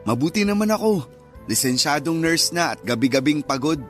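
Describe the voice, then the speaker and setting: A man's voice: fairly high pitch, animated, a little fast, soft background music, lightly acted. An actor playing a friendly male nurse reuniting with an old sweetheart in a Filipino radio drama, speaking with a Filipino accent. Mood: friendly, relaxed.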